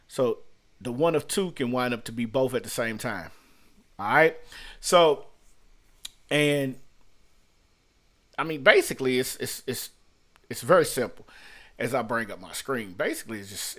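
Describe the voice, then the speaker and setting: A middle-aged man teaching an unscripted software tutorial as a music producer, conversational and repeating his points for emphasis. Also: medium pitch, lively intonation, unhurried, pauses often. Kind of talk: informal screen-share tutorial, unscripted. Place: small room at home.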